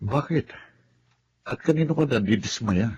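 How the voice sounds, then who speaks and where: A man's voice: medium pitch, lively intonation, moderate pace, a little mellow, muffled recording, a little hiss or hum, close to an everyday voice. A man acting a stubborn, resentful adult son in a Filipino radio drama. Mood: puzzled.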